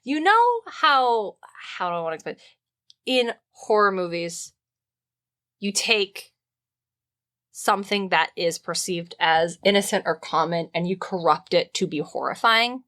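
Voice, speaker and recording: A woman co-hosting a podcast in a casual comedic discussion, animated and opinionated. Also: mid-range voice, unhurried. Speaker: podcast co-host.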